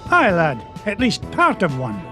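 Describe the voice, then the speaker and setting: Casting: a bold old Scottish duck uncle for a cartoon game, high voice, very animated delivery. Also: male voice, unhurried, over music, exaggerated voice. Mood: fond, reassuring.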